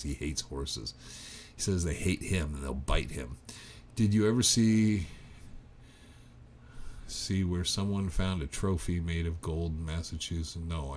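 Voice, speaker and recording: A man's voice: very deep voice, a little hiss or hum. A middle-aged man hosting a livestream, chatting casually and reading out viewer comments in a rambling, self-interrupting way. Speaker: livestream host.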